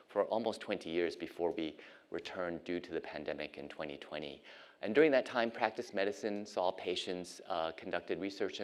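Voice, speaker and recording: Middle-aged man, deep voice, unhurried academic speaker from Ohio. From United States (Ohio). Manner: measured, frequent ums and uhs. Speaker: guest lecturer, physician and professor. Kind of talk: academic lecture.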